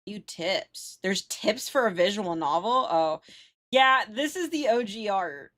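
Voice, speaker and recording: A woman's voice: medium pitch, expressive, takes it slowly, fairly bright tone. A woman livestreamer chatting casually while gaming, informal and sweary.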